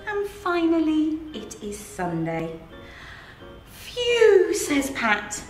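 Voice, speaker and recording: A middle-aged woman with an English accent reading a children's picture book aloud in an animated, sing-song way, asking the children questions. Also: sits high, extremely slow. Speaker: head of early years, reading aloud to children. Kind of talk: children's storybook read-aloud. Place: in front of a green screen with book pages. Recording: echoey room, faint music behind.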